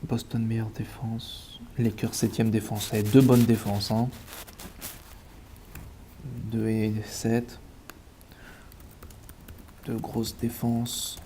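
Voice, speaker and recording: A man with a stuffy cold talks slowly through sports numbers, muttering to himself and repeating words as he checks them. His low, mellow voice stays flat, and a faint hiss of room noise sits underneath. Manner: eating while talking. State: has a cold. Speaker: sports betting analyst. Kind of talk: casual thinking-aloud match analysis.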